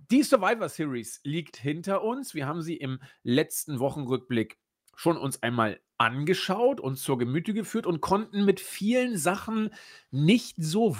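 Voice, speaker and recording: A man hosting a podcast in casual chat, a bit hoarse from a cold, who often restarts his sentences. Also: a little high. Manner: chatty, restarts sentences, laughs at own slips. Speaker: podcast host.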